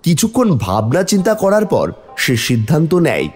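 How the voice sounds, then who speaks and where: A man's voice: a little high, huge pitch swings, fairly quick, husky. A man reading scripted fairy-tale narration as the storyteller of a cartoon. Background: music.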